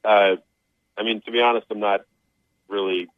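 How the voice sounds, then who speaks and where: A man's voice: lowish voice, steady tone, moderate pace, dry recording. A man on a phone call, speaking casually in a reluctant, awkward, hesitant way. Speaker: called phone guest. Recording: tinny telephone line.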